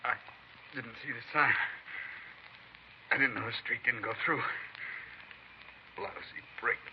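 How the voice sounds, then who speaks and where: A man playing a gravely injured, bitter crook in a 1950s radio crime drama, weak, halting and self-pitying. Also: medium pitch, close to an everyday voice. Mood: dazed, regretful. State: weak, dazed delivery. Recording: muffled, slightly noisy vintage radio recording.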